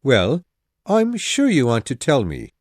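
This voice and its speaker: A man's voice: mid-range voice, dry recording, studio quality. Man acting a wry husband with swooping intonation. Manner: calm, wry, measured. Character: dry, teasing, stubborn middle-aged husband and father. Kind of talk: storybook dialogue acting.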